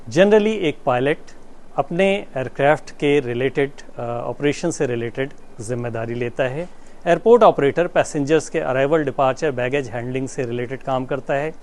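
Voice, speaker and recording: A man with an Indian accent giving a formal, explanatory address as a manager, in measured phrases with pauses mid-sentence. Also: medium pitch, darkish tone. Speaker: air traffic control manager. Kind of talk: explanatory public address to camera. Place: inside an airport control tower.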